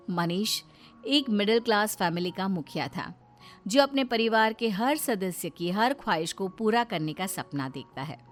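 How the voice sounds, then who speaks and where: A woman giving scripted narration of a story. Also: mid-range voice.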